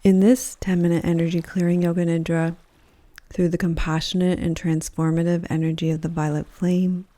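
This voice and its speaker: A woman leading a guided yoga nidra meditation in a calm, gentle, measured voice with soft pauses between phrases. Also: on the low side, no echo. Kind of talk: guided meditation, soothing scripted narration.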